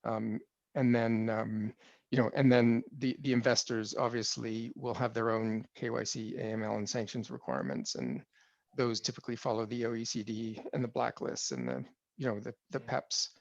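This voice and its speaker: Gravelly middle-aged man, dull headset audio. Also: mid-range voice, animated, normal speed, dry recording. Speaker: call participant adding information. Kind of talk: video call business Q&A. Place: study with framed pictures and flag on wall. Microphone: headset on video call.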